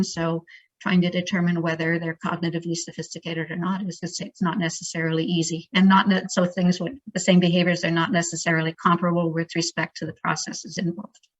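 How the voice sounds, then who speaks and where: A woman's voice: on the low side, monotone, brisk, no echo, highs cut off. A woman researcher answering questions in an unscripted academic discussion, hesitant and often regrouping as she searches for words. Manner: hesitant, groping for words, self-correcting.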